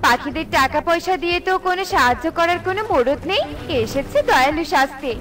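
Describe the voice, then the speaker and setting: A woman's voice: high-pitched, fast talker, a bit bright. A woman voicing a haughty female bird in a children's cartoon, taunting and full of scorn. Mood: scornful. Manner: sneering, taunting, sing-song scorn. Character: mocking, scornful female bird, haughty older sister type.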